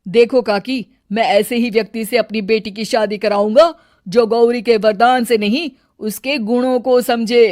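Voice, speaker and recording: A woman's voice: a little high, steady tone, a little slow, a little mellow, close to an everyday voice. A woman voicing a proud, principled village farmer father with a firm, emphatic manner in a cartoon.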